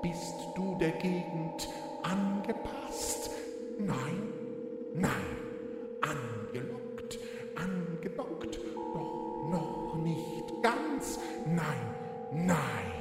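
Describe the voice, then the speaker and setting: Reverberant hall, cryptic female guide, slow incantatory riddles, swooping repetitive delivery, experimental radio play. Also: deep voice, very animated, full character voice. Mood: testing, insistent. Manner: repetitive, incantatory, deliberate wordplay. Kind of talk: experimental radio play, rhythmic chanted speech. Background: music.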